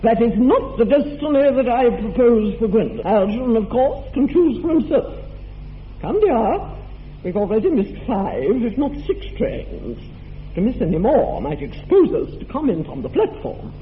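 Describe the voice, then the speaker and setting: A man's voice: very high-pitched, big pitch swings, normal speed. A man voicing a haughty, snobbish aristocratic older woman in a radio play, with a grand, sweeping delivery. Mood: dismissive. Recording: thin narrow-band radio recording with faint hiss.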